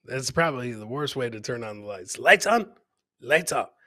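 A man's voice: mid-range voice, lively intonation, moderate pace, pushed and bright. A middle-aged man doing casual, jokey live commentary on video clips as a comedian host, often repeating his catchphrases. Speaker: comedian hosting a livestream clip show.

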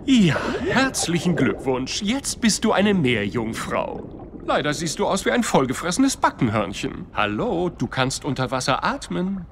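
An actor voicing a sly, bossy talking fish in an animated audio play, with a theatrical, sarcastic, condescending delivery. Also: mid-range voice, unhurried, a little hiss or hum. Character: sly, bossy, mocking talking fish scheming in undersea adventure.

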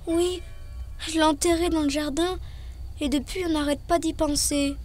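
A woman's voice: high-pitched, steady tone, moderate pace, darkish tone, soft background music, natural voice. A woman voicing a small, curious, easily amazed child in a children's cartoon, with a naive, questioning manner.

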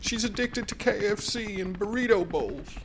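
A man voicing a crude, clueless older male politician in an animated comedy, with a folksy drawl and a gravelly voice. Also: sits high, moderate pace, background noise. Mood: folksy, oblivious. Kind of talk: animated comedy parody acting.

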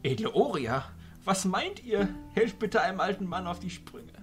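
A man playing an old, courteous illusionist arch-chancellor with a ceremonious, deliberate manner in a fantasy audio drama. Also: high voice, big pitch swings, music in the background.

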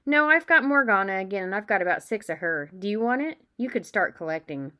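A woman's voice: mid-range voice, animated, a little fast, bright, forceful tone. A woman voicing an eager, chatty young boy wizard in a read-aloud storybook.

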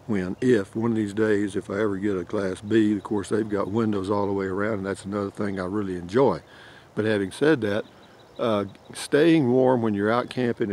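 A man's voice: lowish voice, darkish tone, slight background noise. An older man with a drawl, rambling folksy vlog chat outdoors into a handheld phone. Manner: rambling, folksy, chuckles often. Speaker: camping vlogger. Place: outdoors in a pine forest. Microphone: phone held at arm's length.

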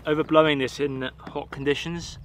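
A man in his thirties from the UK, chatting casually and excitedly to camera while setting up gear outdoors. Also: on the high side, moderate pace. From United Kingdom. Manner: upbeat, chatty, slangy. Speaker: outdoor vlogger. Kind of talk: casual show-and-tell to camera. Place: windy pebble beach outdoors. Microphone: camera mic outdoors. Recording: wind noise on outdoor mic. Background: wind and shore ambience.

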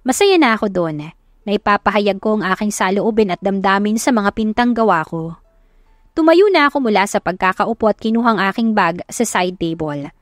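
A woman narrates a romantic audio story in the first person. Her voice sits at a medium pitch, and the recording is close and dry. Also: lively intonation, moderate pace, no room sound.

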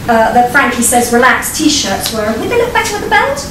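Echoey, high-voiced Englishwoman reading a story onstage. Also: sing-song, far from the mic. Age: middle-aged. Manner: wry, theatrical, deliberate phrasing. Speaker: writer reading their own short story. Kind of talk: live expressive storytelling reading. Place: small vaulted performance venue, on stage. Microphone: distant camera microphone. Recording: echoey stage recording from afar, noisy room. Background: live audience ambience.

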